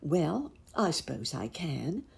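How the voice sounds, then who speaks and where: A woman voicing an obliging muskrat lady housekeeper in a children's story, with a measured manner. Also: low voice, big pitch swings, gravelly, breathy edge, full character voice. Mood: grudging. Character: obliging female muskrat housekeeper, Nurse Jane.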